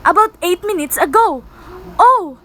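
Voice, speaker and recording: Young woman playing a very high-voiced, fretful invalid wife. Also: animated, a little slow, fairly bright tone, heavily acted. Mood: anxious, insistent. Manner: fretful, insistent, imperious. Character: anxious, demanding invalid wife, easily exasperated. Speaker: student performer in a school radio play. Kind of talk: amateur radio-play acting.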